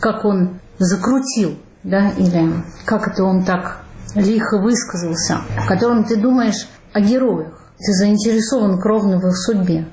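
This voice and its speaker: Mellow-voiced woman novelist, roomy sound. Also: mid-range voice, a little hiss or hum. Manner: thoughtful, measured, with soft laughs. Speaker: novelist guest. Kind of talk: interview answers.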